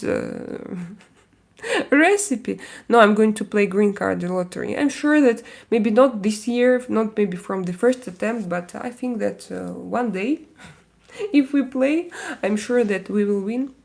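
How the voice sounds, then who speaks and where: A woman from Russia speaking English with a Russian accent, hosting a casual live stream and chatting with viewers, hesitant and full of pauses and fillers. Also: a little high, lively intonation, gravelly, a little mellow. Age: adult with young kids. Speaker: livestream host.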